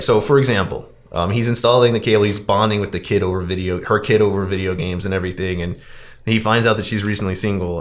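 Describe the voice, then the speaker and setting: A man co-hosting a podcast, chatting casually and recapping in a conversational way peppered with "like". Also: on the low side. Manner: conversational, heavy use of "like". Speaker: podcast host. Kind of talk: casual movie discussion, recapping a plot.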